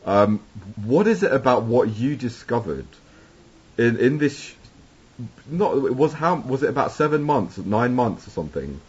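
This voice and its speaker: A man's voice: medium pitch, sing-song, moderate pace, darkish tone, husky. A man interviewing a guest during a recorded call, asking rambling questions with restarts. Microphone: video call. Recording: dull lo-fi call audio.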